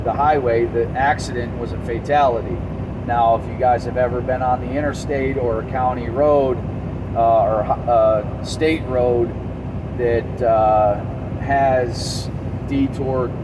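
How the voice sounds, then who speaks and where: A man speaks in a very flat, even tone, like a trucker sharing advice off the cuff. His delivery is halting and plain-spoken, with folksy slang. He sounds distant from the microphone, under loud vehicle and rushing-air noise. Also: medium pitch. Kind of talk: casual off-the-cuff vlog storytelling.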